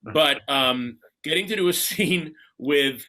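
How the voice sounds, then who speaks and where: A man's voice: fairly high pitch, takes it slowly, pushed and bright, rough recording. A man telling casual anecdotes as an actor on a reunion panel.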